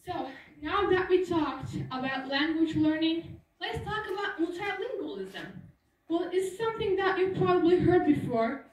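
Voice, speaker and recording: High-voiced teenage girl in a hall. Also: a little mellow. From Turkey. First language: Turkish. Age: teens. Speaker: student speaker. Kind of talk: prepared school talk. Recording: echoey hall, voice from far off.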